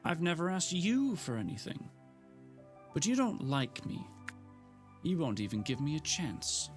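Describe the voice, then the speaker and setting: A man's voice: very animated, slow pace, faint music behind. A man putting on Gemma, a hurt, defiant young woman. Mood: indignant. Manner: pleading, indignant, voice pushed up high.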